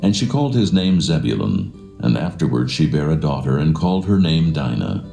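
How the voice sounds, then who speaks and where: A man with a gravelly, mellow voice narrating scripture in a solemn, measured, stately way. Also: extremely low pitch, big pitch swings. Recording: roomy echo, faint music behind. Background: faint plucked guitar music.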